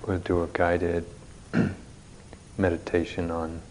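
A man leading a guided meditation as a teacher, speaking in measured short phrases with long pauses, recorded live at a retreat. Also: low voice, flat delivery, creaky, darkish tone, slight background noise, low-quality recording.

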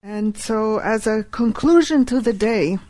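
A woman whose first language is Hebrew, teaching a live workshop and deliberately repeating key phrases. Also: on the high side, warm tone, low-quality recording.

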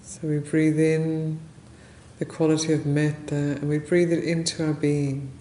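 Slow, mellow woman guiding a meditation. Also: low voice, level tone. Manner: gentle, unhurried, with long pauses between short phrases. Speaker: meditation teacher, Buddhist nun. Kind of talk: guided meditation. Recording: roomy echo, faint background noise.

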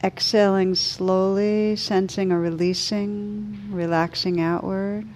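A woman leading a guided meditation as a teacher, speaking slowly and soothingly with gentle pauses. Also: mid-range voice, fairly even intonation, faint music behind.

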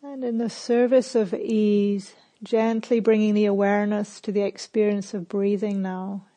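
A woman leads a guided meditation as a teacher. Her voice is dark and relaxed, and she speaks very slowly. She phrases each instruction gently and calmly, leaving long pauses between them. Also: mid-range voice.

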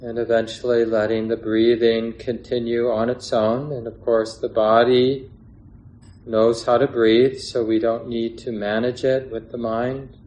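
A man teaching a guided meditation in a gentle, unhurried way, pausing between short phrases. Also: mid-range voice, flat delivery, a little hiss or hum, low-quality recording. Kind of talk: guided meditation instruction, unscripted.

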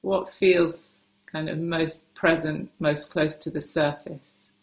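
A woman meditation teacher giving gentle guided instruction in short, unhurried phrases with hesitant pauses, recorded in a roomy, echoing space. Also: low-pitched, fairly even intonation.

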